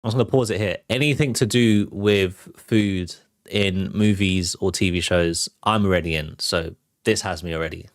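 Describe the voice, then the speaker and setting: A man's voice: deep voice, normal speed, hardly any pauses. A British man hosting a movie trailer show, giving casual reaction commentary.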